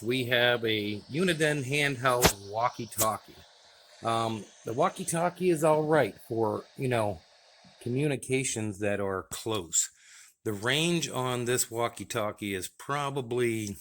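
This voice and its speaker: A man's voice: medium pitch, a little slow, fairly bright tone, a little hiss or hum. An American man giving a casual, plain-spoken, folksy demonstration of his gear. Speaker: radio hobbyist presenting his gear.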